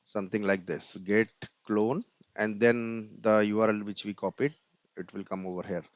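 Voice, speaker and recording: A man's voice: fairly low pitch, flat delivery, normal speed. A man presenting an informal screen-share coding tutorial step by step, often tagging his sentences with "right?", on a dull computer mic.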